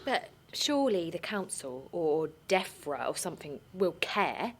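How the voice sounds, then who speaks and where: A woman's voice: on the low side, very animated, measured pace, a little hiss or hum. A woman playing an earnest small-town mum turned protester in an audio drama, chatty and unpolished. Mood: frustrated. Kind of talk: naturalistic audio drama, dialogue and narration.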